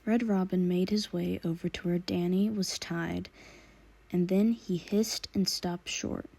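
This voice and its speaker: Mellow-voiced woman narrating a story. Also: lowish voice, steady tone, unhurried, gravelly. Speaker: audiobook narrator. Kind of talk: scripted narration of fan fiction.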